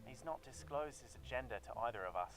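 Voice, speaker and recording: A man's voice: medium pitch, animated, quick pace, natural voice, low-quality recording. An actor playing a prim, guarded male secretary with a British accent, speaking formally and evasively in a video game. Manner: formal, clipped, politely evasive.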